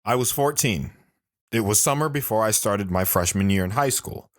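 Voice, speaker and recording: A man's voice: lowish voice, expressive, moderate pace, natural voice. An actor playing a mild, self-deprecating man who tells first-person stories of his youth in an audio drama. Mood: earnest storytelling. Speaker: storytelling radio show guest.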